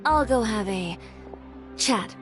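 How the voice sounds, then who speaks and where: Curt, haughty, higher-voiced young woman. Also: expressive, slow. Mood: cool, resolute. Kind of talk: video game voice acting. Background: game music.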